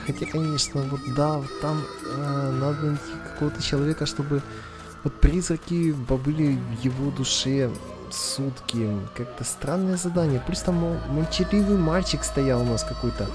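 A man's voice: a little high, normal speed. A man doing casual game commentary, thinking aloud and reading on-screen text, with game music behind him. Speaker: gaming video host. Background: video game music.

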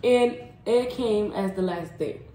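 A woman telling a story as a vlog co-host in casual, bantering conversation, quick to correct and interject. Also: mid-range voice, a crowd in the background. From Puerto Rican family. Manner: informal, bantering, often correcting co-speaker. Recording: echoey room, clear background noise, clicks.

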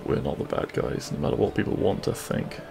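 A man playing a cheeky, kind-hearted bandit prince in an intimate audio roleplay, with a gravelly voice and a teasing, reassuring manner. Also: brisk, over music, close to an everyday voice. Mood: earnest.

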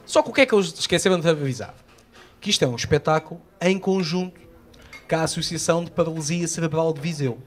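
A man with a high, light voice and a European Portuguese accent tells his stories live on a small open-air stage at night. He is in his thirties and holds the microphone in his hand. He tells his tales with energy and acts out the people in them. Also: fast talker, a little hiss or hum. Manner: animated storytelling, acting out quoted voices. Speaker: stand-up comedian. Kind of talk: live stand-up routine. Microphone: handheld microphone.

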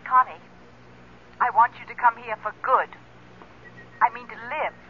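A woman's voice: fairly high pitch, normal speed, lightly acted. An actress in a radio drama playing a genteel, well-off woman friend with an assured, coaxing manner. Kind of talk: 1970s radio drama acting. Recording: tinny telephone-like old radio, faint hiss.

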